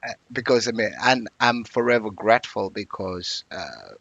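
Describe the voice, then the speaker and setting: A man's voice: lowish voice, lively intonation, normal speed, vocal fry, fairly bright tone, dry recording, lo-fi sound. A man, telling his life story as an interview guest in a reflective, earnest way. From Uganda.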